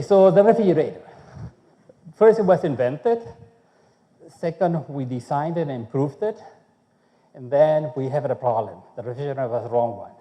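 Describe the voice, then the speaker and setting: A man's voice: fairly high pitch, mellow, long reverb tail. A man from Chile, a food researcher giving a conference talk, speaking conversationally and often checking in with "okay?". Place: conference hall.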